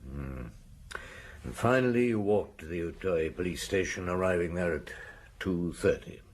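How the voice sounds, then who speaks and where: An actor playing a measured police chief inspector in a radio drama, asking terse, probing questions. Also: male voice, sits low. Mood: pressing.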